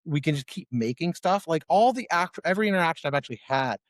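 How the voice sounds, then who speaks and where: Chatty man from Ohio, slightly high voice. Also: quick pace, no echo, clean studio sound. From Ohio, USA. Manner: rambling, many restarts and fillers, animated when quoting himself. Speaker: musician guest. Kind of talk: casual conversation.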